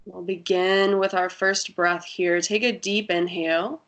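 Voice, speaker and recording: A woman guiding a breathing meditation in a soft, soothing voice with long pauses. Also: on the low side, level tone, highs cut off. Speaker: meditation guide.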